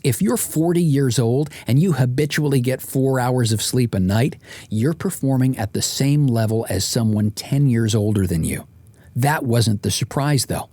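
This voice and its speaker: A man reading his own nonfiction audiobook as author and host, in measured, deliberate first-person narration. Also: medium pitch, darkish tone, breathy edge, no room sound.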